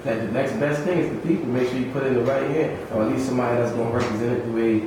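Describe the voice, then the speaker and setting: A man's voice: medium pitch, fairly even intonation, rapid-fire. Emphatic slangy man in a very echoey room. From Suriname, moved to the US in the early 1990s. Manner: slangy, emphatic, repeats himself. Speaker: podcast guest, small business owner. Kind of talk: casual chat. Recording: very echoey room, distant mic, noisy background.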